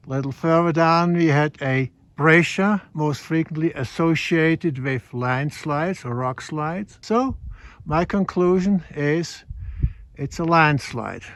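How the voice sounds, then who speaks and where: A man with a Swiss accent, explaining geology outdoors on a mountain hike in a measured, teaching way. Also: on the high side, dry recording. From Switzerland. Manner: measured explanatory, slightly non-native phrasing. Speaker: hiking geology guide. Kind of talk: outdoor geology field narration.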